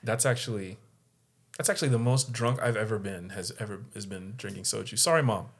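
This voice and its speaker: A man co-hosting a casual podcast, telling a story in an animated way and acting out the people in it. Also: lowish voice, brisk, creaky. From San Diego area. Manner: animated, acts out quoted voices. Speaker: podcast co-host.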